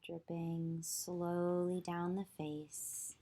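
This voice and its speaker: Very slow, low-voiced woman guiding meditation. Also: little pitch movement, mellow. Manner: slow, soothing, spaced instructions. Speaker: yoga teacher. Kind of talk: guided visualization meditation.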